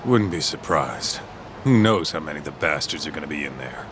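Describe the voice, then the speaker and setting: A man's voice: rumbling low, animated, a little fast, noisy background. A man voicing a brash, fearless tough-guy sidekick in an English video game dub, with a swaggering, slangy delivery. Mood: cocky. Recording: background music under the dialogue.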